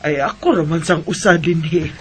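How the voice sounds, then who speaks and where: An actress with a Visayan Filipino accent playing a kind, generous older single woman in a radio drama, gentle and reassuring. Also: sits low, moderate pace, slight background noise. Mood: kindly, reassuring.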